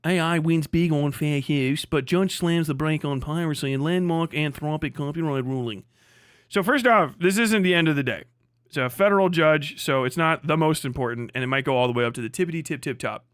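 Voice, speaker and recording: A man with a somewhat high voice talks off the cuff as a livestream commentator. His delivery is blunt and emphatic, peppered with profanity and repeated phrases. The sound is very dry, with no room echo. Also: a little fast, fairly bright tone, clear, resonant tone.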